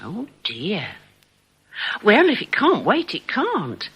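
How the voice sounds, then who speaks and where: A woman's voice: medium pitch, huge pitch swings, takes it slowly, breathy edge, dull audio, exaggerated voice. An actress in a radio drama playing an eager, slightly nervous woman accomplice, chatty, polite and hesitant. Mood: bluffing, businesslike.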